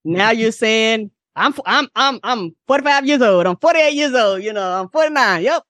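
A middle-aged woman co-hosting a casual podcast, telling stories in animated slang and swearing freely. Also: on the high side, normal speed, dry recording. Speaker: podcast co-host. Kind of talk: casual chat and storytelling.

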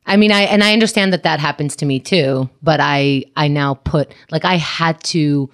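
A woman's voice: low-pitched, normal speed. A woman co-hosting a casual podcast chat, telling personal stories in a candid, reflective way with blunt asides.

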